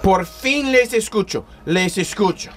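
A man's voice: high voice, expressive, slow. A man playing a scornful, miserly rich villain in a radio play, scolding pompously. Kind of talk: Christmas radio play acting.

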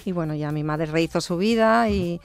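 A woman in her fifties, a nurse, talking thoughtfully in an interview, with hesitant restarts and phrases that trail off softly. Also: lowish voice, moderate pace, smooth, faint music behind. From born in England, raised in Barcelona. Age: 50s. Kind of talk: conversational interview.

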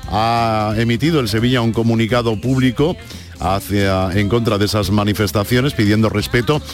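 A man's voice: lowish voice, normal speed. Male radio sports host, mid voice, faint music. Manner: lively presenter delivery, handing over to reporters. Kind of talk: live radio sports presenting. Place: radio studio.

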